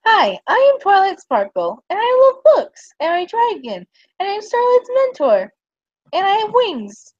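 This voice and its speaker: A woman's voice: extremely high pitch, huge pitch swings, normal speed, no room sound, muffled recording, voice pushed up, heavily acted. A woman voicing an earnest, bookish young female pony in a prim, sing-song way. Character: earnest nerdy young female pony who loves books.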